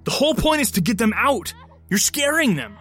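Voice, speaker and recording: A man's voice: very high-pitched, very animated, a little fast, a bit bright, soft background music, full character voice. A man playing an anxious, exasperated hotel guest in an audio drama, earnest and scolding.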